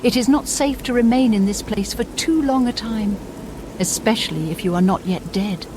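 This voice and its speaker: A woman's voice: medium pitch, normal speed, background noise, close to an everyday voice. A woman voicing a bitter, damned female spirit in a fantasy game, speaking in a solemn, theatrical and formal way. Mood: cold, ominous. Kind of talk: dramatic fantasy game dialogue.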